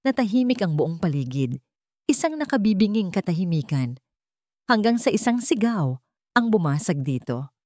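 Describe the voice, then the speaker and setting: Low-voiced woman narrating dramatic romance. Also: darkish tone, no room sound, clean studio sound. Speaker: storyteller.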